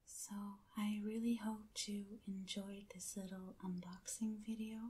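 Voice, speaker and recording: A woman who is not a native English speaker, softly half-whispering an ASMR unboxing in a gentle, hushed, breathy voice. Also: mid-range voice, flat delivery, a little slow, slight background noise. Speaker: ASMR video creator. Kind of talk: soft-spoken ASMR unboxing.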